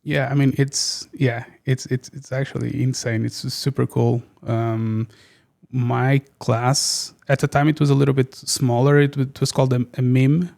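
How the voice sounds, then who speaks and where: A man's voice: medium pitch, little pitch movement, a little slow, gravelly, a little mellow. A man in his thirties from Portugal, a guest speaking casually and hesitantly with many fillers and restarts. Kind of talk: casual interview chat.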